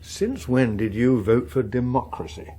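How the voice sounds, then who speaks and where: A man's voice: mid-range voice, measured pace, dark tone, slightly rough. An actor playing a harried, wry middle-aged English politician and father, speaking drily and articulately in a radio drama. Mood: wry, weary. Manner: dry, exasperated, articulate. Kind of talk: British radio drama acting.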